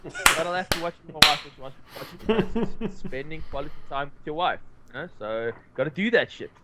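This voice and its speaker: Blunt, slightly higher-voiced man. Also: expressive, fast, pushed and bright, slight background noise. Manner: blunt, swears casually. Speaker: podcast co-host. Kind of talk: casual chat.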